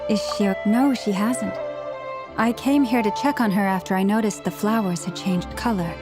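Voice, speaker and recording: Woman as a composed, serious game ally, mid-pitched. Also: over music, close to an everyday voice. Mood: composed concern. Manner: measured, matter-of-fact, formal wording. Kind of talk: video game voice acting.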